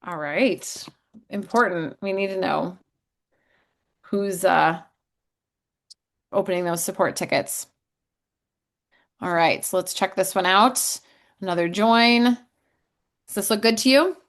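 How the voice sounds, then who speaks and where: An upbeat woman hosts a casual software demo with a friendly, chatty delivery. She leans on fillers as she talks, and her voice sits in an ordinary middle pitch. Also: slow, pauses often. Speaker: sales host of company demo. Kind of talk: casual chat on video call.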